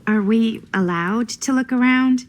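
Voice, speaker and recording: A woman's voice: a little high, measured pace, lightly acted. An actress playing a curious, uneasy young woman in a video game, speaking in a hesitant, questioning way. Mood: tentative.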